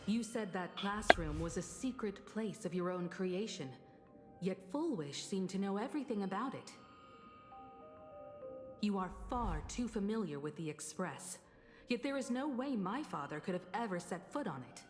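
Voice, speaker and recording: An actress playing a resolute, accusing daughter in a game, firm, pointed and formal. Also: female voice, mid-range voice, animated, normal speed, music in the background, close to an everyday voice.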